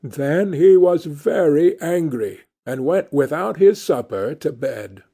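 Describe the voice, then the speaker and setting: Male narrator for children's fairy tale audiobook, dark mellow tone, scripted read. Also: on the high side, lively intonation, takes it slowly, slightly rough. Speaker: audiobook reader.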